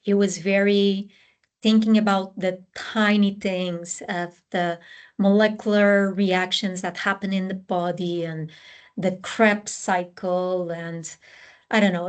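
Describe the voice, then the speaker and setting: Portuguese-accented woman, interview guest, explaining at length, many ums and restarts, mid-pitched voice. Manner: explanatory, frequent fillers and restarts. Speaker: scientist guest. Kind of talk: conversational interview answers.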